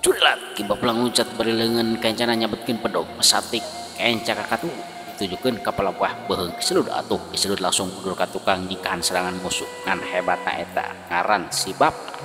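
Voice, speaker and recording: A man's voice: on the low side, steady tone, brisk. A man with a Sundanese accent telling a folk tale dramatically, in a colloquial oral storytelling manner. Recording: long reverb, loud music behind. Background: music.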